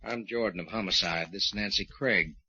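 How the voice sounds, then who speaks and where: An actor playing a polite homicide detective with easygoing, slightly hesitant questioning, in 1940s radio style. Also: male voice, deep voice, fairly quick, pushed and bright, a little hiss or hum, heavily acted. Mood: courteous probing. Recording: muffled 1940s radio recording.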